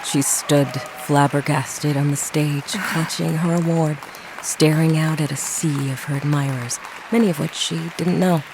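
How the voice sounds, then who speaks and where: A woman's voice: low-pitched, steady tone, a little slow. A woman giving a scripted narration of a horror audio drama. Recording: music and crowd noise behind narration. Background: music, crowd sounds.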